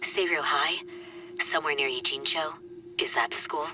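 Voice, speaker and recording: A woman playing a serious, composed defense attorney with a measured, businesslike manner in a video game cutscene. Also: low-pitched, noisy background, lightly acted. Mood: grave. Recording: game music under the voice. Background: music.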